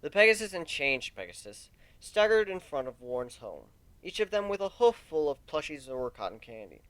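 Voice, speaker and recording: Animated man narrating a story. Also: a little high, moderate pace, no echo, rough recording. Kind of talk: dramatic reading of a fan fiction story.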